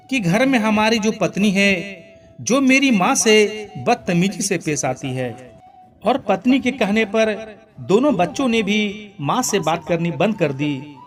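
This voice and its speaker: A man narrating a scripted, sentimental moral story in an earnest, dramatic way. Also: high voice. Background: background music.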